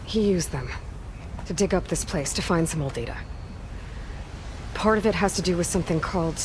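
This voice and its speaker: An actress playing a determined, pragmatic young woman adventurer in a video game cutscene, measured and matter-of-fact. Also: lowish voice, background noise, lightly acted. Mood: explaining, serious.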